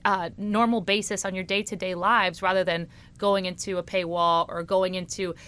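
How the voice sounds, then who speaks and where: A young woman from South Florida co-hosting a casual podcast, chatting enthusiastically and dropping in quick affirmations. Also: fairly low pitch, flat delivery, a bit bright, clear, resonant tone. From South Florida, USA. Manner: enthusiastic, conversational, frequent affirmations. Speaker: podcast co-host. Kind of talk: casual chat.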